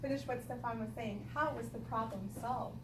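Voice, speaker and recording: A woman's voice: fairly high pitch, moderate pace. A woman teaching young children in a classroom, directing them and prompting them with questions. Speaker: primary school teacher. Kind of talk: interactive classroom read-aloud discussion. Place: indoor school classroom. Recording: echoey distant classroom recording, clearly noisy.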